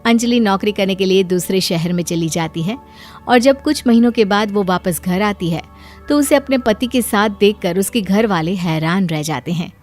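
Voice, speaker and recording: A woman's voice: medium pitch, normal speed, a little mellow, faint music behind. A woman reading scripted narration for a story as its narrator.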